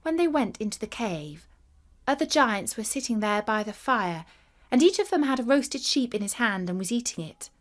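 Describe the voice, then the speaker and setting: A woman narrating a scripted fairy tale for children in a deliberate, expressive bedtime reading. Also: on the high side. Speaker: storyteller.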